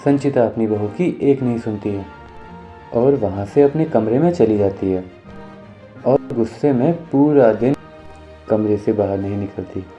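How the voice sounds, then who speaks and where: A man narrating an animated moral story in a scripted storytelling style. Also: fairly low pitch, measured pace. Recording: music under the voice.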